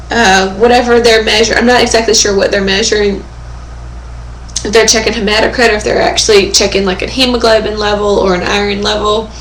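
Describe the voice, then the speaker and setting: A young woman with a hoarse, fading voice, chatting casually in a webcam update from home and often pausing with fillers and throat clearing. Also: mid-range voice, a bit bright, a little hiss or hum. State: hoarse, losing her voice. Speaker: vlogger. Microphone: webcam microphone. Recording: echoey room on a webcam.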